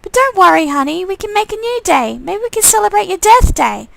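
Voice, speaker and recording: A woman's voice: very high voice, very animated, a little fast, creaky, pitched above the performer's own voice, heavily acted. A woman voicing a doting, unsettlingly cheerful middle-aged mother in a game, fussing sweetly and gushing fondly. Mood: sweetly sinister.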